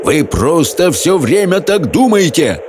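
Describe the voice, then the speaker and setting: A man's voice: sits high, very animated, slow pace, gravelly, background noise, voice pushed up, heavily acted. A man voicing a hot-tempered, commanding wizard in an audiobook, roaring his words with dramatic, imperious emphasis. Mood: furious bellowing.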